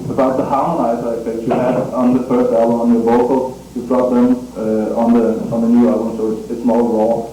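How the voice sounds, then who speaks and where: Dark-toned, hesitant male interviewer. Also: mid-range voice, little pitch movement, a little hiss or hum. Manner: hesitant, halting, many fillers. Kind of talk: interview questions. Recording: echoey room from far off.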